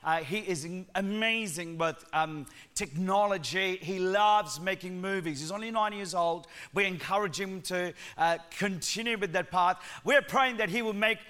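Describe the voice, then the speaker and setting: A man with a Sri Lankan accent mixed with New Zealand, preaching a lively, joking sermon in church and calling on the congregation to respond. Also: sits high, moderate pace, hardly any pauses. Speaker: guest preacher.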